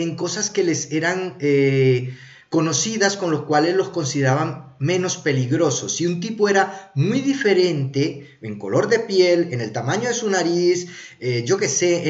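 Higher-voiced man, echoey room. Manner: conversational, animated, checks in with rhetorical tags. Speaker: streamer reviewing films and TV. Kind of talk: casual explanatory monologue. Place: small indoor room. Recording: roomy, echoey, slightly dull sound.

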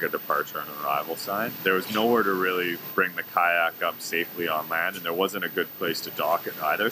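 A man in his forties from Canada tells a travel story in an easygoing, animated way. His voice sits very low and relaxed. It's recorded outdoors on a small clip-on mic with clear background noise. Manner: relaxed, chatty, animated storyteller with lively asides. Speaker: adventure vlogger. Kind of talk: casual travel vlog storytelling. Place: outdoor seaside cafe and on the water in a kayak.

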